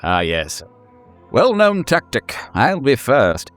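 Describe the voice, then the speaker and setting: A man speaking as game master, improvising horror narration and casual chat in a halting way, often rephrasing himself. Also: medium pitch, wildly swooping pitch, a bit bright, soft background music.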